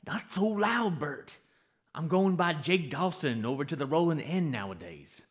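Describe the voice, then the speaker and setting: Man playing a lively young radio-western cowboy. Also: fairly high pitch, moderate pace, natural voice. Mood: low-key, guarded. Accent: American Western. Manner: folksy, polite cowboy talk. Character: young cowboy bronc buster, polite and earnest. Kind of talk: old-time radio western acting.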